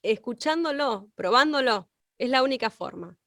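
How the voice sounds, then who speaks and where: A woman's voice: sits high, lively intonation, normal speed, a bit bright, no echo, studio quality. A woman with an Argentine accent giving a conversational class, explaining and checking in with short questions. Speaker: radio and podcast workshop instructor.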